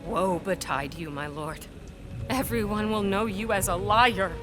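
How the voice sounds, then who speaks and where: A woman's voice: medium pitch, a little slow, music in the background, natural voice. An actress playing an earnest, outspoken woman scholar in a period audio drama, with formal diction and emotional intensity. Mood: reproachful. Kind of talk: period audio drama acting and first-person narration.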